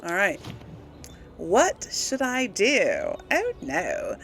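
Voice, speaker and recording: A woman's voice: mid-range voice, huge pitch swings, drawn-out words, a bit bright. A woman hosting a casual let's play, musing and thinking aloud as she goes. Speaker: gaming video host. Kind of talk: casual let's play commentary, some role-played replies.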